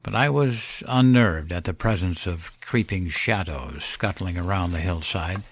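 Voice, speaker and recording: A man narrating a scripted first-person horror story as a weary, wistful old man, in a measured, solemn and dramatic way. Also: deep voice, slight background noise. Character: weary, wistful elderly man telling his ghostly tale. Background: music under the voice.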